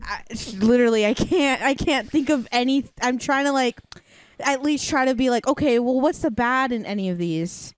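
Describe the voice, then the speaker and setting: A woman chatting casually as a podcast co-host, expressive, slangy and playfully dramatic. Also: sits high, moderate pace, smooth, no room sound. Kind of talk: casual chat.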